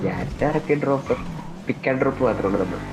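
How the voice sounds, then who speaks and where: A man's voice: mid-range voice, lively intonation, brisk, background noise. A man in casual in-game chat, speaking in a dry, understated way.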